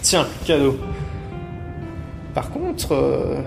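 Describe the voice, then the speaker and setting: A man's voice: medium pitch, wildly swooping pitch, very slow, creaky, full character voice. A man playing a small, cunning young thief, hesitant and cheeky, in an audio adventure drama. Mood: playful, cheeky. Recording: loud music behind the voice.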